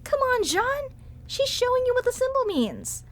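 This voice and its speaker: A woman voice actor performing a sweet, gentle character in an acted audio roleplay, talking in a bubbly, soothing way. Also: very high voice, sing-song, normal speed.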